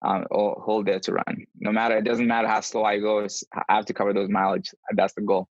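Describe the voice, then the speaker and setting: A man with a fairly low voice answers interview questions in an earnest, casual way. The sound is a slightly dull video call with very little room in it. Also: level tone, fairly quick, no room sound. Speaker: podcast guest, endurance runner.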